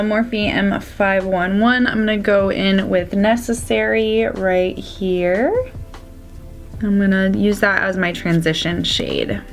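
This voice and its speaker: A young woman with a slightly congested, raspy voice chatting casually through a makeup tutorial. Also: mid-range voice. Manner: chatty, drops to soft whispers at times. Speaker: beauty vlogger.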